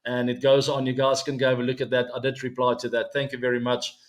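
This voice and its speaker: A man in his forties with a South African accent, Afrikaans his first language, hosting his own weekly cricket show in a relaxed, chatty way full of ums and asides to viewers. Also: medium pitch, quick pace. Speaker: show host.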